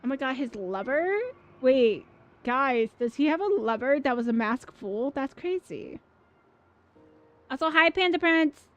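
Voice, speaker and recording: Excitable high-voiced woman streamer. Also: a little slow. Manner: excitable, swears freely, chats with viewers. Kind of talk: casual livestream chat over gameplay. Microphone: dynamic mic. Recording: dull mic with faint game music.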